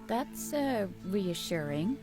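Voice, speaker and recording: A woman's voice: medium pitch, big pitch swings, slow, music in the background. An actress playing an exasperated female space-station doctor with a wry, politely guarded manner. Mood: wary, wry.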